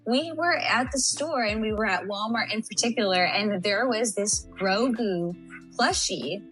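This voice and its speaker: A woman's voice: mid-range voice, measured pace, a bit bright, faint music behind, low-quality recording. Bubbly, chatty young Texan woman. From San Antonio, Texas. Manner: bubbly, chatty, laughs often. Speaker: interview guest. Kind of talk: casual podcast interview.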